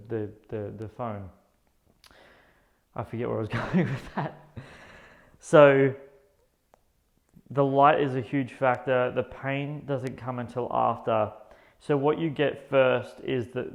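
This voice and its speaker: Earnest gravelly man in his thirties. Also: medium pitch. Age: 30s. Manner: earnest, halting, many restarts. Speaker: vlogger. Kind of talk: personal health vlog. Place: indoors under LED lights.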